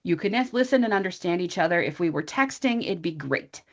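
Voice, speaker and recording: A woman's voice: fairly low pitch, lively intonation, fairly quick. An American woman, an English teacher, giving an informal online training talk with a chatty, thinking-aloud manner and asides to her listeners. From USA. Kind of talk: conversational online presentation.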